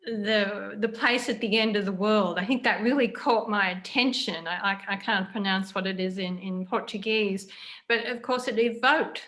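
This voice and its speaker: A woman interviewer chatting reflectively, pausing as she searches for her words. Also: medium pitch, fairly bright tone. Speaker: interviewer, writer.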